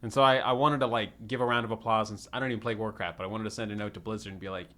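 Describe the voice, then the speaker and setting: A man with a fairly low voice talks at a brisk clip. He sounds like one of a podcast panel, trading casual banter about films. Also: steady tone, fairly bright tone.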